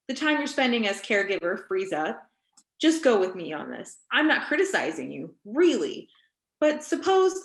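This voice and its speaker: A woman playing an insistent doctor who questions a talk-show host in a staged play reading, in pointed, deliberate phrases. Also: medium pitch, fairly bright tone. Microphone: video call microphone. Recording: echoey room on video call.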